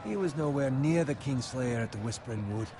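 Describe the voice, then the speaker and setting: An actor playing a cocky, teasing young soldier in a medieval fantasy game, speaking bluntly and mockingly. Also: male voice, mid-range voice, animated, fairly quick, a crowd in the background, close to an everyday voice. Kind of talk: video game fantasy acting.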